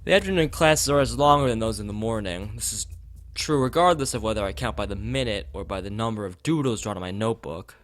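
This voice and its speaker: A man's voice: on the low side, fast talker. A man streaming a visual novel, chatting casually and reading the text aloud, sometimes stumbling over and repeating phrases. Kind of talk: casual game commentary and read-aloud of on-screen text. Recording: music playing behind the voice. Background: game music.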